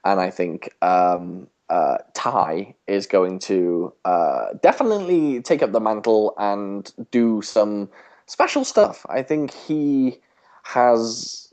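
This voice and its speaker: A man's voice: fairly low pitch, sing-song, slow, darkish tone. A man co-hosting a podcast in casual chat, hesitant with many restarts and fillers. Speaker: podcast co-host.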